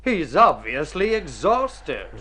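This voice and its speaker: An actor playing an exasperated, grumbling man of the household in a radio drama, brisk and emphatic. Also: fairly high pitch, big pitch swings, bright, forceful tone, slightly rough, full character voice. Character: exasperated, grumbling male household companion at the table. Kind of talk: Golden Age radio drama acting.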